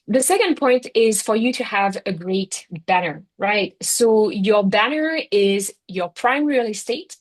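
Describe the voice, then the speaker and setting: A middle-aged woman with a French accent gives an online workshop talk in a chatty way, filling her sentences with "you know, like" and "right?". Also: mid-range voice. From France. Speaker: workshop speaker. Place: Singapore.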